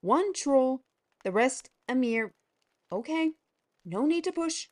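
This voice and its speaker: A woman's voice: sits high, expressive, slow pace, darkish tone. A woman playing a bold, teasing artisan steward with a playful, persuasive manner in a fantasy audio drama.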